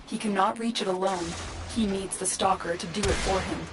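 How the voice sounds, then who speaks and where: An actress playing a solemn, protective guide and commander in a video game, composed and earnest. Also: female voice, mid-range voice, fairly even intonation, a little fast, heavy background noise, close to an everyday voice. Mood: grave.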